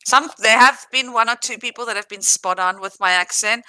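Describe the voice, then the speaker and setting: A woman's voice: a little high, a little fast, pushed and bright, smooth. A woman with a South African accent chatting casually on a livestream, laughing easily and answering viewers directly. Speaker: livestream host. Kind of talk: casual livestream chat reading viewer comments.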